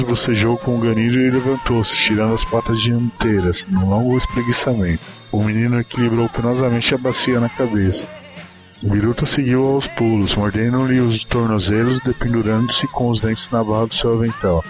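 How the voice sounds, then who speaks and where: Male narrator, mid pitch, dramatic literary storytelling, clear music bed underneath.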